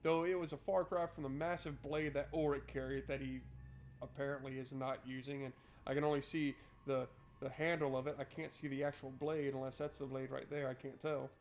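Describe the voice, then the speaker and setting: A man's voice: medium pitch, little pitch movement, fairly quick, soft background music. A man doing a playful, wry let's play, switching between reading game text aloud and joking commentary. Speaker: gaming streamer.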